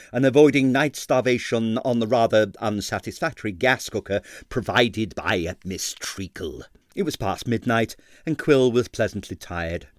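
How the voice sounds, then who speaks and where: A man reading a comic 1930s detective novel aloud as dramatised narration, with expressive, wry delivery. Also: mid-range voice, no room sound, studio quality. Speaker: audiobook reader.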